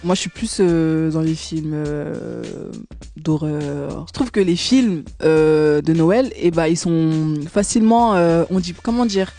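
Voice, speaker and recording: A woman's voice: low-pitched, slow pace, mellow, faint music behind. A woman singer answering interview questions casually, with slang, frequent giggles and many hesitations.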